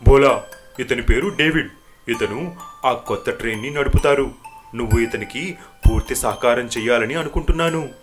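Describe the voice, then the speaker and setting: కార్టూన్ నీతి కథలో నచ్చజెప్పే, అధికారంగా మాట్లాడే యజమాని పాత్రకు గొంతు ఇస్తున్న పురుషుడు. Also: male voice, mid-range voice, soft background music, natural voice. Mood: authoritative. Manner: brisk, authoritative, placating. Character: bossy, smooth-talking male employer and company manager. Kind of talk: animated moral story dialogue.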